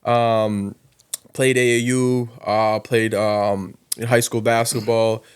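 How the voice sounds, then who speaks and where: A man's voice: lowish voice, flat delivery, slow pace, clear, resonant tone. A man from South Sudan talking casually and informally as a podcast guest, with slang and frequent "you know". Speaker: guest, college basketball coach.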